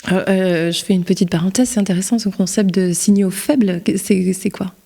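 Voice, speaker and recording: A woman's voice: medium pitch, fairly even intonation, a little fast, dark tone. A woman hosting a podcast interview, asking curious, informal questions. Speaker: podcast host.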